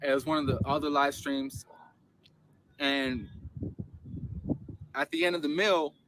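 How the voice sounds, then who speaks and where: A man from Los Angeles earnestly telling a long, rambling story on a casual podcast, his voice jumping up when he gets animated. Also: on the high side, measured pace, background noise.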